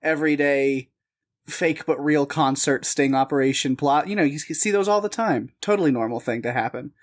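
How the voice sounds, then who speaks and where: A man's voice: on the high side, moderate pace. A man co-hosting a film podcast, talking casually with frequent restarts and little laughs. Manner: rambling, restarts, self-deprecating laughs. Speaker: podcast co-host. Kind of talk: casual chat.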